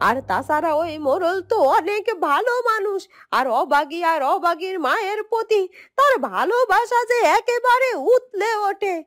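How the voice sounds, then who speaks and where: Shrill-high, fretful scheming cartoon sister. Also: female voice, normal speed, no echo, clean studio sound. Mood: anxious scheming. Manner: excitable, fretful, exclaiming. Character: fretful, scheming, greedy younger sister of a witch. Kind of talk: Bengali fairy-tale cartoon dubbing.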